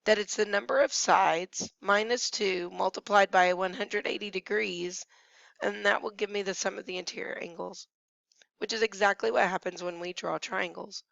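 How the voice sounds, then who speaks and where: A woman math teacher who has nearly lost her voice, hoarse and at times whispering, patiently talking through each step of a screencast lesson. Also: mid-range voice, steady tone, moderate pace, a bit bright, no room sound. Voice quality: hoarse, gravelly, sometimes dropping to a whisper. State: losing her voice. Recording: dry, dull lo-fi screencast audio.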